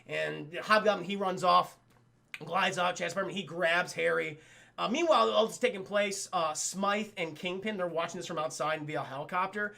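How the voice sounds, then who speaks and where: High-pitched, creaky-voiced man recapping casually. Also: moderate pace, bright, forceful tone. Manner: profane, addresses viewers, stammering. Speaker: streamer and cartoon reviewer. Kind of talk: casual, animated scene-by-scene recap.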